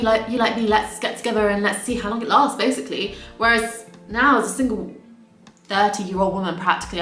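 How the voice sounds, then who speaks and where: A woman's voice: medium pitch, a bit bright, roomy sound. A woman in her late twenties, a vlogger talking candidly in a casual get-ready-with-me monologue, with exasperated asides. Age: late 20s.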